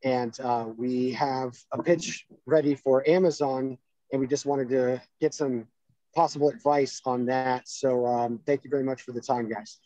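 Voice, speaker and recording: Mellow-voiced producer on a dull call line. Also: male voice, medium pitch, steady tone, moderate pace, no echo. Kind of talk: self-introduction pitch. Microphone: video call.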